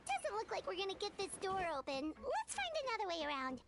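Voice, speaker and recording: A woman's voice: extremely high pitch, wildly swooping pitch, a little fast, heavy background noise, exaggerated voice. A woman voicing a young, cute, earnest girl nurse in a video game dub, with a sweet, bubbly, childlike delivery. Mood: curious.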